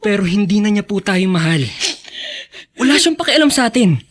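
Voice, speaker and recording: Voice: mid-range voice, very animated, normal speed. A performer acting a protective, resentful son who firmly consoles a parent in a Filipino radio drama. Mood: pleading.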